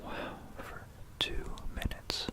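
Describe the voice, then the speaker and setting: Slow, whispering ASMR presenter. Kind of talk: whispered ASMR narration of history. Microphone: close stereo binaural microphone.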